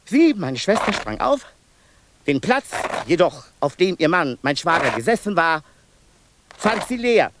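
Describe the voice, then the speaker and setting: An obsessive asylum inmate in a radio play, a fairly high-pitched man who winds through long, circling sentences and keeps repeating the same names. A clear hiss runs under the voice. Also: big pitch swings, measured pace. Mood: fixated, solemn. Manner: long-winded, circling, endlessly repeating himself. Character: male asylum inmate, obsessive pedantic storyteller. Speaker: inmate narrating family deaths. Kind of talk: 1990s radio play acting.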